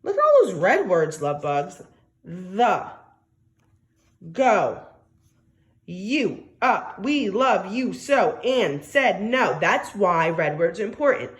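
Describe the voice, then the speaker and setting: A woman teacher reading a story aloud to young children, expressive and coaxing, often asking questions and stressing key words. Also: mid-range voice, slow pace. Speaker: primary school teacher.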